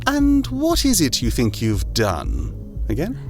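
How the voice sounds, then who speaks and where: A man's voice: mid-range voice, wildly swooping pitch, slow, faint music behind, heavily acted. A man as a smug, drawling vampire overlord, swooping intonation. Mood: mock-polite. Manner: theatrical, drawling, condescending. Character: smug, urbane male vampire overlord, amused and condescending. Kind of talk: audio drama acting.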